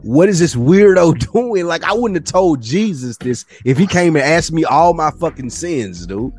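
A man's voice: fairly high pitch, lively intonation, normal speed, a little mellow, soft background music. A man co-hosting a podcast in casual conversation, slangy and profane, giving his opinions freely. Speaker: podcast co-host.

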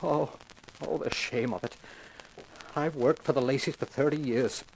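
An actor playing a desperate, anguished man confessing his wrongdoing in a halting, melodramatic 1950s radio crime drama style. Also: medium pitch, gravelly, slight background noise, lightly acted, low-quality recording. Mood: ashamed, pleading.

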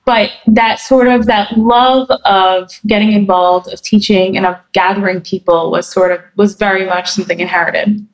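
A woman guest in her thirties, from New Jersey, talks through her answers in a relaxed interview. Her pitch sits mid-range for a woman, and she thinks aloud with frequent fillers and self-corrections. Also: moderate pace, few pauses, low-quality recording. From New Jersey, USA. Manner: thoughtful, many fillers and restarts.

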